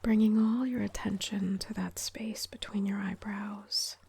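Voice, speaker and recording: A woman leading a guided meditation in a soft, breathy, whispery voice, calm and gentle, with unhurried pauses. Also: mid-range voice. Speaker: meditation guide, yoga teacher.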